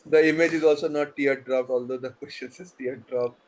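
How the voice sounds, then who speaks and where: A man's voice: medium pitch, fairly even intonation, a little hiss or hum, low-quality recording. A man, reading quiz questions over a video call and chatting with the players between them. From India. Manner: reads questions briskly, then chats loosely with players. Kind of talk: online quiz league, reading clues and chatting.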